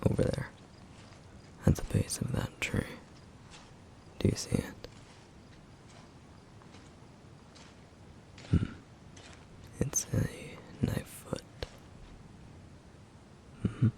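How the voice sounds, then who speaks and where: A man voicing a stern yet caring flame demon in an ASMR roleplay, speaking in a hushed, gravelly whisper with measured, formal phrasing. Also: natural voice. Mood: calm, amused. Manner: measured, formal, slightly old-fashioned phrasing.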